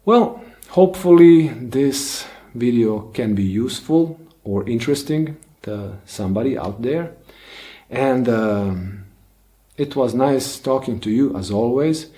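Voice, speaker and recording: Slow, mellow-voiced reflective man. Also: mid-range voice, animated. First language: Bosnian. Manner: slow, thoughtful, many fillers and restarts. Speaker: channel host. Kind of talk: reflective solo monologue.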